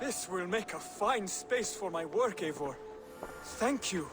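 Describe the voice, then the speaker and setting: An actor playing an earnest, devout assassin scholar in a video game, speaking in a formal, measured and solemn way. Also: male voice, high voice, expressive, over loud music. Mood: courteous, purposeful. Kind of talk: scripted dramatic game dialogue.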